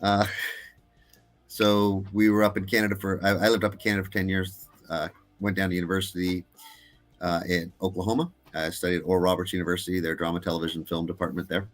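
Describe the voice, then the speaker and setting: Middle-aged guy, really low voice, lots of uhs and restarts, just chatting as a guest. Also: little pitch movement, fast talker, soft background music. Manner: hesitant, with many uh fillers and restarts. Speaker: guest filmmaker. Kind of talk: casual interview chat.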